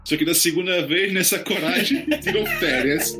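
A man's voice: sits high, wildly swooping pitch, moderate pace. A Brazilian man hosting a casual, humorous chat in jokey, very slangy speech. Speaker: podcast host. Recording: clear music bed behind the voice. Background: instrumental music.

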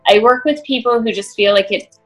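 A woman's voice: on the high side, a little fast, soft background music. A woman guest, a movement specialist, explaining her approach in an earnest, conversational way. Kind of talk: conversational interview answers.

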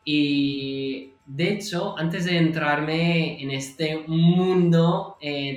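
A man with an American accent speaking Spanish as a guest, telling his story in a chatty way with frequent fillers and restarts. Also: on the high side. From United States. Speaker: podcast guest. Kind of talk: casual interview storytelling. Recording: roomy echo.

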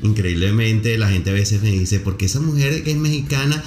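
A man's voice: lowish voice, moderate pace, darkish tone. A man hosting a podcast interview, talking at length in an effusive way and explaining marketing terms to listeners. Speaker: podcast host. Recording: echoey room with faint music.

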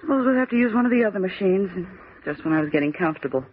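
A woman's voice: mid-range voice, lively intonation, racing, a little hiss or hum, close to an everyday voice. An actress playing a brash, sceptical young female typist in a 1940s radio thriller, wisecracking in slangy, emphatic, exasperated lines. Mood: wry. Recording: dull vintage radio recording.

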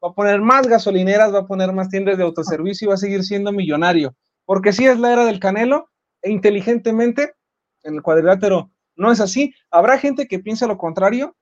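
Emphatic Mexican sports show host. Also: male voice, sits high, a little fast, dull audio. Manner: emphatic, argumentative. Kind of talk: opinionated sports debate.

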